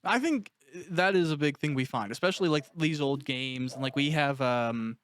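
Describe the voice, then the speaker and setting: A man's voice: medium pitch, moderate pace, fairly bright tone. A man hosting a casual, conversational interview as he chats with his guest. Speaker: interviewer, host of an audiobook cast interview.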